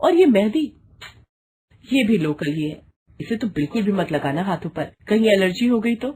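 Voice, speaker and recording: Woman putting on a high-pitched catty young sister-in-law. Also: big pitch swings, normal speed, warm tone, slight background noise, natural voice. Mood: disdainful. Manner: mocking, fussy, pointed.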